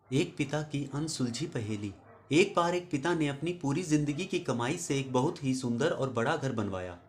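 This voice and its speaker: A man's voice: mid-range voice, fairly bright tone, slight background noise. A man telling a scripted moral story as a host, addressing listeners in an earnest, instructive way.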